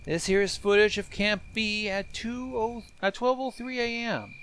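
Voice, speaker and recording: A man's voice: high-pitched, normal speed, a bit bright, a little hiss or hum. A man hosting a reaction show, giving casual explanatory commentary on horror videos.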